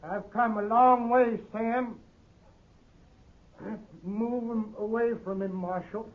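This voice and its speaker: An actor playing a crazed, vengeful man in a 1950s radio Western, with halting, strained, single-minded delivery. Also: high voice, steady tone. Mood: grim, vengeful. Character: crazed, vengeful male avenger, grimly determined. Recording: very noisy, narrow-band old radio recording.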